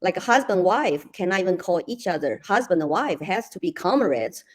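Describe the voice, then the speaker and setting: A woman's voice: fairly low pitch, animated, normal speed. A woman from China with a Chinese accent and non-native English grammar, hosting a conversational interview. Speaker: interview host.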